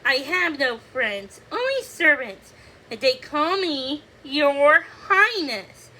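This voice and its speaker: A woman's voice: high-pitched, sing-song, slow, sharp tone, a little hiss or hum, heavily acted, low-quality recording. A woman voicing a vain male animal who fancies himself royalty, haughty and theatrical, in a storybook reading.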